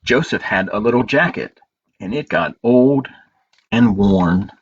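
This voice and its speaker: A man hosting a storytime as a librarian, reading picture books aloud in measured phrases with pauses and informal asides. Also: on the low side, lively intonation. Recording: dull lo-fi audio with clear background noise.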